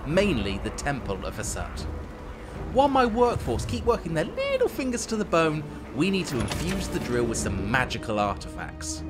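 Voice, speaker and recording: Wry theatrical guy doing game narration, super animated, kinda villainous on purpose, music clearly behind him. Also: fairly high pitch. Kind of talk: scripted strategy game narration, tongue-in-cheek and in character.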